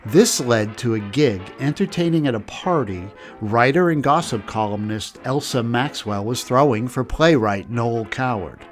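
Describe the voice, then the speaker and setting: Brief: man narrating a documentary, even delivery, faint music under him. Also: medium pitch. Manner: steady, measured storytelling. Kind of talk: scripted narration.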